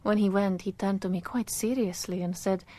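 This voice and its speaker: An actress plays a thoughtful wife in a radio drama. She speaks in a medium-pitched voice, unhurried, with a playful, teasing edge. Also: steady tone, mellow, close to an everyday voice. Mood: reflective, wry. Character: calm, teasing wife of a detective, a painter.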